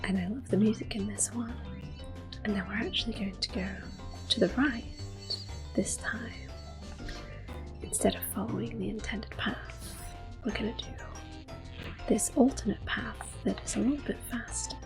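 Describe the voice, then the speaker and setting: A young woman giving calm, soft-spoken ASMR commentary on a game she is playing, drifting often into close whispers with gentle fillers. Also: mid-range voice, moderate pace, pauses often. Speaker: ASMR gaming host. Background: faint video game music.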